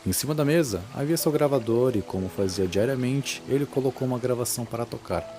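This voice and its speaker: A man's voice: medium pitch, quick pace, over music. A man giving a solemn, dramatic scripted narration for a horror audio drama.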